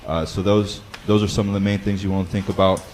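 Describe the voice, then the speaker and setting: A man with a loud voice who leads a Bible study, explaining practical advice in a conversational way with frequent fillers and restarts. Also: low voice, level tone, fast, slight background noise. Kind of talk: instructional talk.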